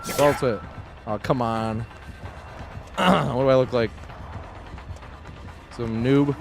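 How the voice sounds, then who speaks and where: A man's voice: medium pitch, takes it slowly, creaky, music in the background. A man live-streaming a game, giving laid-back, casual commentary with some swearing and talking half to himself.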